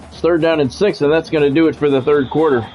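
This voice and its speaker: A man calling live play-by-play of a football video game in measured, short clipped announcer phrases. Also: a little high, steady tone, a little mellow. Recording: dull lo-fi audio with music behind.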